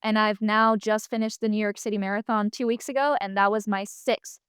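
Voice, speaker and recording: A woman's voice: mid-range voice, steady tone, fairly quick, a bit bright, smooth, dry recording, clean studio sound. A woman in her twenties, raised in Italy and Spain, speaking with an American accent as a podcast guest, telling her story in a chatty way. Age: 20s. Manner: chatty, storytelling with quoted asides. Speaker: podcast guest, marathon runner. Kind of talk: casual interview chat.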